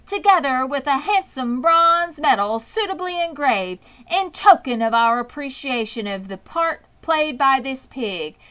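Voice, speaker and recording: A woman's voice: very high voice, bright, forceful tone, pitched above the performer's own voice, full character voice. A woman voicing a pompous male fairground announcer making formal, declamatory storybook proclamations.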